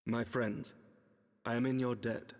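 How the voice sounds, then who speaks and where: A man's voice: medium pitch, little pitch movement, measured pace, darkish tone, close to an everyday voice. Earnest knight in an echoing hall, male actor. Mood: sombre. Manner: formal, intense, archaic speech. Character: proud, earnest adult son, a noble knight challenging his father. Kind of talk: dramatic fantasy game cutscene acting. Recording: long reverberant hall.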